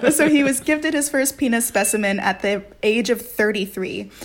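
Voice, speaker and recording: A woman's voice: on the high side, moderate pace, a loud crowd. A woman co-hosting a casual podcast, telling a fact with enthusiasm, frequent laughs and fillers. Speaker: podcast co-host presenting a fact.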